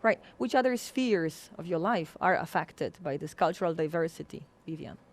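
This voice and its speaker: A woman's voice: lowish voice, lively intonation, moderate pace. A woman with a Polish accent hosting an interview in English, a teacher by trade. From Poland.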